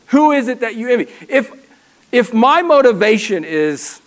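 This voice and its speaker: A man preaching a sermon from a church stage, animated and full of rhetorical questions and repeated phrases. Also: very high voice, normal speed. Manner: animated, acts out people's inner voices. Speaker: pastor. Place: church stage with a ladder prop.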